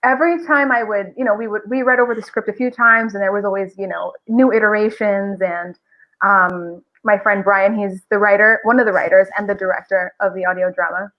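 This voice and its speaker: A woman's voice: medium pitch, steady tone, fairly quick, highs cut off. A woman talking reflectively and at length as a guest voice actor in a casual podcast interview.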